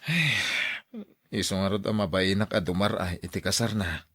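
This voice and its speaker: Blunt, emotional brother, low voice. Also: male voice, normal speed, a bit bright, no room sound, clean studio sound. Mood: ashamed, defensive. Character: emotional, remorseful brother who lashes out at women. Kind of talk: radio drama acting.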